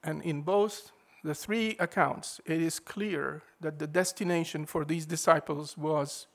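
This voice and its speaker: A man preaching a sermon in a measured, emphatic way, leaning hard on key words. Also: fairly high pitch, steady tone, gravelly.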